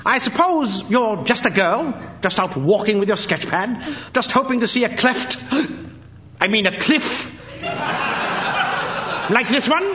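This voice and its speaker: An actor playing a brooding, sardonic wealthy gentleman in an old radio drama. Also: high-pitched, animated, slow pace, pauses often, a little hiss or hum, heavily acted. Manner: sardonic, clipped. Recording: dull old radio recording with music.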